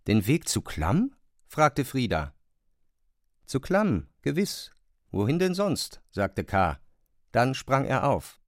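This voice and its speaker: A man narrates a literary radio play at a medium pitch. His storytelling is measured, and the sound is intimate, without any room around it. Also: very animated, dry recording, clean studio sound.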